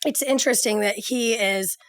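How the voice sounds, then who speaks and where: A woman from Georgia with a Southern accent and a naturally raspy voice, hoarse from a cold, chatting easily as a podcast guest and laughing often. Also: a little high, moderate pace, no echo. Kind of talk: casual chat.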